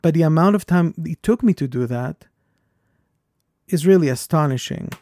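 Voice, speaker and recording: A man with a foreign accent co-hosting a podcast, talking in an earnest and reflective way that turns animated when he tells a story. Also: fairly high pitch, moderate pace, no room sound, clean studio sound. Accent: foreign accent, origin unstated. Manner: earnest, thoughtful, animated when telling stories. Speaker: podcast co-host. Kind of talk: reflective conversation.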